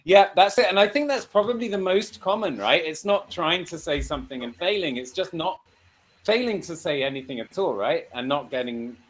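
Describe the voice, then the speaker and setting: A man's voice: a little high, animated, normal speed. A man hosting a casual online lesson, chatty, with frequent laughs and fillers. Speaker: host and English teacher.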